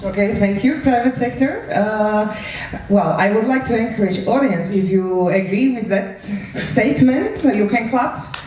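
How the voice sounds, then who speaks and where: A woman's voice: mid-range voice, measured pace, darkish tone. A woman moderating a live event informally, in a playful, encouraging way. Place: indoor event hall before an audience. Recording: roomy, distant mic, faint noise.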